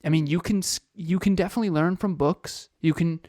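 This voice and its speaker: A man's voice: fairly high pitch, brisk, a little mellow, no room sound, studio quality. A man in his thirties co-hosting a motivational podcast, talking with energy, firing off rhetorical questions and repeating phrases. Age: 30s. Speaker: podcast co-host.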